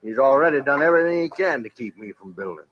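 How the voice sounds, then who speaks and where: An actor playing a proud, blustery older cattle rancher with a rural Western American accent in a radio drama. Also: male voice, mid-range voice, lively intonation, quick pace, lightly acted. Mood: scornful. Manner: blustery, boastful, folksy. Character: proud, cantankerous old cattle rancher turned hotel builder. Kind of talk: 1950s radio drama acting. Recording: old radio recording, dull and hissy.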